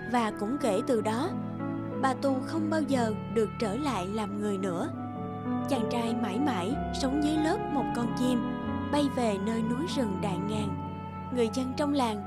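A woman reading scripted storybook narration for a folk tale. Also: fairly high pitch. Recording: loud music under narration.

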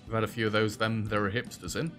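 A young British man with a rather deep voice, chatting casually over a game as host and joking with the characters on screen. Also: little pitch movement, fast, music in the background. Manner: chatty, self-deprecating, talks to game characters. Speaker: gaming video host. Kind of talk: casual let's-play commentary. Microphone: headset.

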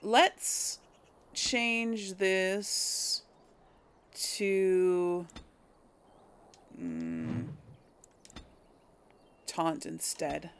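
A woman's voice: on the low side, expressive, drawn-out words, pauses often, clear, resonant tone. A woman giving casual game commentary, thinking aloud and correcting herself as she addresses viewers. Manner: musing, hesitant self-correcting talk to viewers. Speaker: gamer hosting a role-play playthrough.

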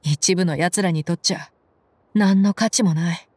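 A woman's voice: lowish voice, a little slow, a little mellow, breathy edge, no room sound. An actress playing a cool-headed, tough young mercenary woman in a video game, with a blunt, commanding manner. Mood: stern. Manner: blunt, commanding, tomboyish speech. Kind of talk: Japanese game voice acting.

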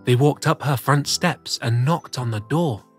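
A man narrating a children's story as host, reading rhymes in a theatrical, deliberate way. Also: mid-range voice, breathy edge. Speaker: storyteller host of a children's read-aloud show. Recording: soft music bed behind the voice. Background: soft music.

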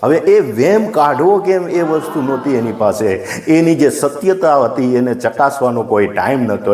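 A Gujarati man tells an instructive tale in a conversational style. He explains things plainly and repeats phrases to drive them home. His mid-pitched voice sits in a noticeably echoey room. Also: a little mellow. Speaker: storyteller and channel host. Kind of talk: informal moral storytelling to viewers. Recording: echoey, roomy sound.

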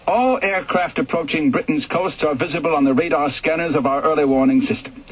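A man voicing a brisk male air force briefing officer who reads out emergency procedure in a formal, instructive way. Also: a little high, voice pushed up, low-quality recording. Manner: crisp, instructive, formal delivery.

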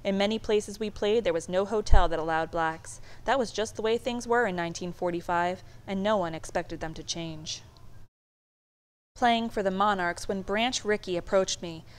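A woman reading a children's storybook aloud in a measured, expressive storytelling manner. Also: mid-range voice, clean tone. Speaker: storybook reader. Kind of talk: read-aloud children's book narration.

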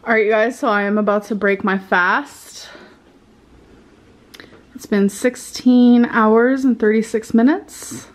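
A woman's voice: on the high side, steady tone, slow pace. A young adult American woman vlogging in a casual, slangy way, talking to camera at home. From United States. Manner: informal, slangy, frequent "like". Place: indoor living room at home.

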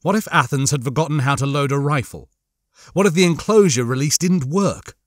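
A man's voice: on the high side, fairly quick, no echo, clean studio sound. A man reading a story aloud as an audiobook narrator, with dramatic scripted narration.

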